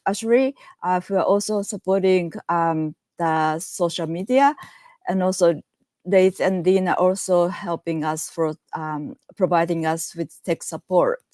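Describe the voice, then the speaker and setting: A woman's voice: on the low side, measured pace, a little mellow, dry recording. Hesitant woman reading thanks. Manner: hesitant, many fillers. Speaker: co-moderator. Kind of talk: opening announcements.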